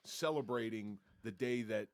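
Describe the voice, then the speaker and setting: A man's voice: lowish voice, unhurried. A man from Northern Ireland on a podcast, asking questions casually with hesitant restarts. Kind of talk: casual conversation.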